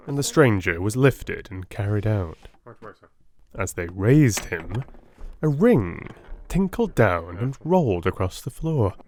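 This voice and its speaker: A man narrating a dramatic audiobook with theatrical, deliberate phrasing. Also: on the low side, darkish tone, a little hiss or hum.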